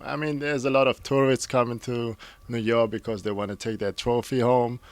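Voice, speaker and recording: A man, a graffiti artist, explaining things in a relaxed, matter-of-fact way. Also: mid-range voice, moderate pace, no echo. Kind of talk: casual interview answers.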